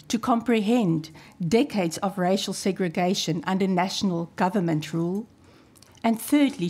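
A South African woman in her fifties, Afrikaans her first language, delivers a prepared lecture from a podium. She reads in a measured, formal way and leans on key phrases for emphasis. Her voice comes through a podium microphone in a wood-panelled hall. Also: mid-range voice, darkish tone, breathy edge. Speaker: archivist giving a talk.

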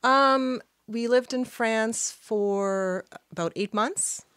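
Bright-voiced chatty woman guest. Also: fairly high pitch, extremely slow, clear, resonant tone, dry recording, studio quality. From Canada. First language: Arabic. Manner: chatty, laughs often, many fillers. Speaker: podcast guest. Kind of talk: casual interview chat. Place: small studio with red curtains. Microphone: studio mic on boom arm.

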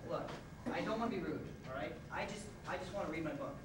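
A man's voice: a little high, fast, natural voice. A young man playing a polite, flustered, reluctant fellow in an amateur stage comedy, with hesitant, stammering delivery. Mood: polite, annoyed. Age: young adult. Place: theatre stage, indoors. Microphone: far camera microphone. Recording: distant, echoey, noisy old stage videotape.

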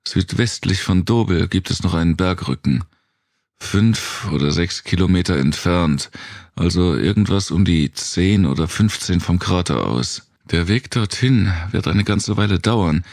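A man reads the part of a tough woman survivor in a dark audiobook, blunt and wary. The voice is low for her, with a gravelly edge, raised somewhat above the narration. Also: lively intonation, a little slow, dry recording, studio quality, heavily acted. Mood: considering.

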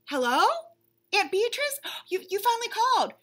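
A woman voicing an excitable, impatient, needy male bunny from a picture book, with dramatic, exclamatory delivery. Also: very high voice, pitched above the performer's own voice, exaggerated voice. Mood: excited, chatty. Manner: dramatic, exclamatory, drawn-out emphasis.

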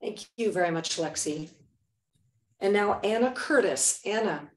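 A woman's voice: medium pitch, expressive, a little slow, echoey room. A woman chairing a public board meeting in a large meeting room, calling speakers courteously and procedurally.

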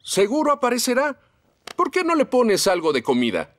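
A man's voice: very high voice, huge pitch swings, normal speed, heavily acted. A man with a Latin American Spanish accent playing a friendly, dutiful fireman in a children's cartoon dub, helpful and reassuring.

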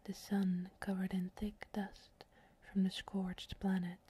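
A woman narrating a scripted fantasy ASMR intro in a breathy whisper, measured and solemn like a storyteller. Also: medium pitch, completely flat delivery, dark tone, a little hiss or hum.

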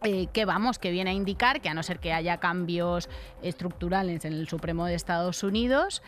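A woman's voice: on the low side, normal speed, soft background music. A middle-aged woman with a Castilian accent from Spain, commenting on the news in an informal, colloquial way as a podcast co-host. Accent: Castilian Spanish from Spain. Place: radio-style podcast studio. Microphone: close studio microphone on desk.

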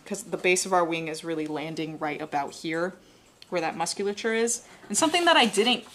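A young woman with a Southern accent and a slightly low voice, teaching drawing as she sketches. She talks in a relaxed way, walking through each step and often correcting her own words. She is recorded in a studio on a clip-on mic. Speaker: art instructor. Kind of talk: live drawing demonstration. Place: studio with an overhead desk camera.

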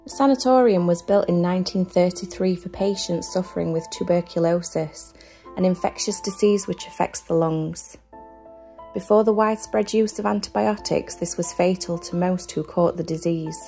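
A young woman hosts an exploration vlog. Her voice is lowish and mellow, with a gravelly edge. She moves between relaxed, filler-laden chat and read narration. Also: moderate pace, faint music behind. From United Kingdom. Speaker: urban exploration vlogger.

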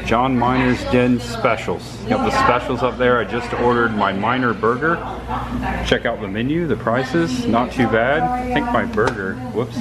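A man's voice: mid-range voice, moderate pace, a loud crowd. A man, narrating a travel vlog to a handheld camera while exploring roadside spots. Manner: chatty, enthusiastic, pointing things out. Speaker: travel vlogger. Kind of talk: casual on-the-go narration. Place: outdoors by roadsides and in a small diner. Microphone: handheld camera at arm's length. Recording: outdoor traffic noise behind.

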